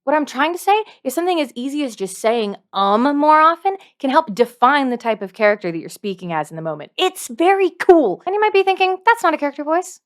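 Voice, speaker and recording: A woman's voice: high-pitched, sing-song, fairly quick, hardly any pauses. A woman presenting a scripted tutorial to camera as a dungeon master, emphatic and playful, with dry asides. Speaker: video host, dungeon master.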